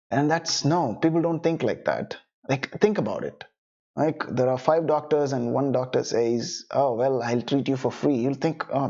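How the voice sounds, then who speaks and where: A man's voice: mid-range voice, darkish tone, lo-fi sound. A young man whose first language is Tamil, coaching freelancers in a casual online lesson and often adding "right?" and "you know". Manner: conversational, many "right?" and "you know" tag questions. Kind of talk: online lesson, talking to camera.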